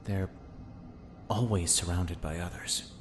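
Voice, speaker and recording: A man voicing a secretive infiltrator soldier reporting to his commander, in a low, measured voice. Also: steady tone, music in the background. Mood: guarded. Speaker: voice actor in fan audio drama.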